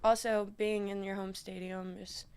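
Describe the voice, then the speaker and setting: Chatty young woman with vocal fry. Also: mid-range voice, level tone, moderate pace, a little mellow. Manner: chatty, many fillers like "like". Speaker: sports interviewer, host. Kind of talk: casual interview chat.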